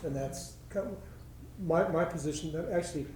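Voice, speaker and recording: A man's voice: a little high, normal speed, a little mellow, slight background noise. A man on a public commission, talking in a rambling, self-correcting way at a meeting. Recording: echoey chamber, distant mic.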